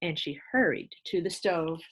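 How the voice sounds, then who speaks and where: Woman, primary-teacher read-aloud narrator, slightly low pitch, explains the story in asides. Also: normal speed, a bit bright, a little hiss or hum. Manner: explains to young listeners in asides.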